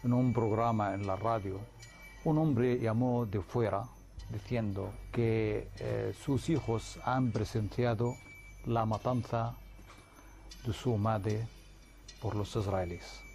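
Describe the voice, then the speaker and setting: Man talking earnestly in an interview, kinda mellow voice, quiet music behind. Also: lowish voice, fairly even intonation, vocal fry. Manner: earnest, with halting restarts. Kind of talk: interview answer.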